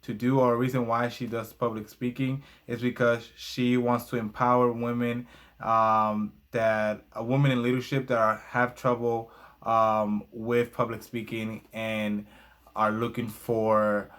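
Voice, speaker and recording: A man's voice: mid-range voice, little pitch movement, measured pace, fairly bright tone. A young man whose first language is Spanish reviews a book in a casual vlog, hesitating often with fillers and glancing at his notes.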